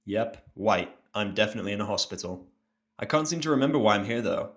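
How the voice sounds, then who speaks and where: A man's voice: lowish voice, lively intonation, fast talker, a bit bright. A man playing an irritable, sarcastic injured patient, blunt and cynical, in a first-person audio drama. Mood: groggy, annoyed.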